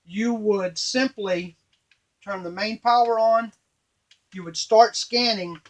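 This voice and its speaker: High-pitched middle-aged man demonstrating gear. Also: slow pace. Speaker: device maker and demonstrator. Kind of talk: informal hands-on product tutorial. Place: small indoor workshop at a workbench. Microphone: camera a few feet away.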